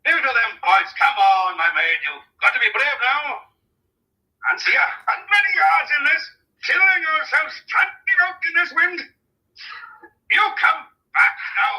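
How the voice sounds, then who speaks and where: An actor playing a gruff, fatherly country police sergeant in an old radio drama, blustering and coaxing in a colloquial way. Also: very high voice, big pitch swings, measured pace, pauses often, pushed and bright, full character voice, poor audio. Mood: shouting, urgent.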